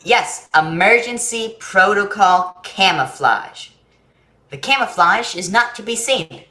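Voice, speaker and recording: Woman playing trusting young boy, frank childlike delivery, medium pitch for a woman, echoing room. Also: animated, takes it slowly, pushed and bright. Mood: eager, proud. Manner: earnest, blunt, childlike. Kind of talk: staged play reading.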